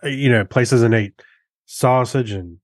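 An American man talks casually as a podcast co-host. His voice sits at an average male pitch and his manner is folksy and easygoing. The recording is dry and close. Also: measured pace, no echo. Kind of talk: casual chat.

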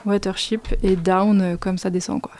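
A woman's voice: mid-range voice, level tone, normal speed. A woman, a guest on a radio show, speaking hesitantly with many fillers. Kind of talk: casual radio chat.

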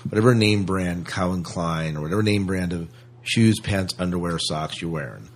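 A man with a deep, somewhat rough and husky voice talks as a podcast co-host. He works through his thoughts out loud in a casual, questioning way. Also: normal speed. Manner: questioning, thinks aloud. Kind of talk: casual chat discussion.

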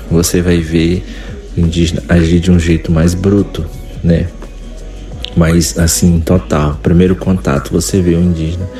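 A man speaking as an interview guest, relaxed and explanatory, with frequent hesitations. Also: deep voice. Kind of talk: conversational interview.

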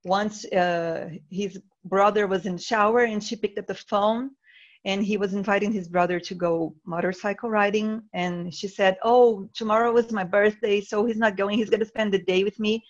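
A woman's voice: mid-range voice, fairly even intonation, normal speed, lo-fi sound. A woman from Brazil with a Brazilian Portuguese accent, a caller who speaks English as a second language hesitantly. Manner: hesitant, laughs nervously.

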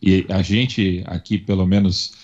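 A man with a São Paulo Brazilian accent giving conversational commentary as a podcast panelist, talking in a halting, discursive way with many tag words. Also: deep voice, flat delivery, darkish tone. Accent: São Paulo Brazilian Portuguese. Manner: halting, discursive, frequent "né" tags. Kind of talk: conversational historical and political commentary. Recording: dull, muffled lo-fi audio.

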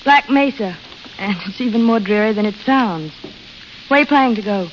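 An actress playing a blunt, restless young American desert waitress with wistful dreams, plain-spoken in an old radio drama style. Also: female voice, mid-range voice, fairly quick, close to an everyday voice. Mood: brisk, curious. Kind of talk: 1930s-40s radio drama acting. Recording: muffled, hissy vintage radio recording.

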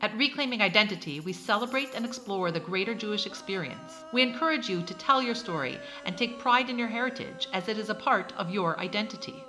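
A woman's voice: medium pitch, animated, fairly quick, few pauses. Woman reading a podcast intro over music. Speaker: podcast co-host. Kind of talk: scripted show intro.

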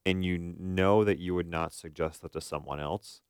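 Deep, gravelly man talking through advice. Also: steady tone, moderate pace, no room sound, clean studio sound. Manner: explanatory, poses questions and checks for agreement. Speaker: therapist co-hosting a talk show. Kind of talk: casual advice discussion.

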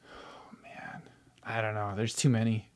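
A man's voice: lowish voice, completely flat delivery, measured pace, a little mellow, smooth, in a whisper. A man chatting casually as a podcast guest, informal and jokey. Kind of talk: casual chat, answering quick-fire questions.

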